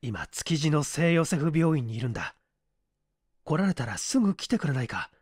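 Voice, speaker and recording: A man voicing a weak, flustered aristocratic gentleman in a story reading, anxious and hurried. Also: a little high, very animated, husky, no echo, studio quality.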